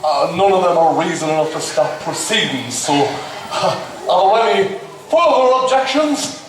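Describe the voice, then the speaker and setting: A man's voice: high-pitched, lively intonation, moderate pace, noisy background. A man playing a hesitant, officious friar in a stage pantomime, speaking formally. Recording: echoey theatre, distant mic.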